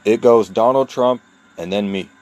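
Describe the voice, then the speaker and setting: New York man, lower register, solo monologue, measured and insistent delivery with weighty pauses. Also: a little mellow, dull audio. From New York, USA. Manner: deliberate, emphatic, with long pauses between phrases; earnest and insistent, repeating key points. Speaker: vlogger telling his own story. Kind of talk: personal monologue to camera.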